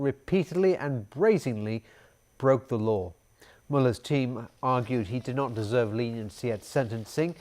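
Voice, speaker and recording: Male news anchor, medium pitch. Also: a little mellow. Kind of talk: scripted news reading.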